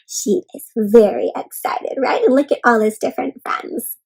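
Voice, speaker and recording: Female children's read-aloud host. Notably high voice, expressive and encouraging, engages young listeners with questions. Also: measured pace, gravelly, breathy edge, rough recording. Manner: expressive, encouraging teacher-like delivery.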